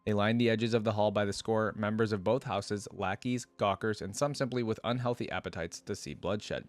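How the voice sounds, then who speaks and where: Lowish-voiced man hosting reaction videos. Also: fairly even intonation, moderate pace, faint music behind. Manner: informal, slangy. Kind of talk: casual reaction commentary and story reading aloud.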